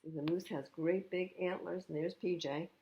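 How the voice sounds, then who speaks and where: A woman's voice: lowish voice, fairly even intonation, normal speed, dark tone, muffled recording, a little hiss or hum. A woman reading a picture book aloud to young children, expressively, with explanatory asides about the pictures. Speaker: children's storytime reader.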